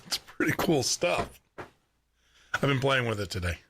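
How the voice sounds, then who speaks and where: A man with a deep baritone voice hosting a live radio show and podcast from his studio, chatty, laughing often and repeating words as he talks. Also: sing-song, unhurried, noisy background. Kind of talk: casual live radio chat. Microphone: studio microphone with headphones.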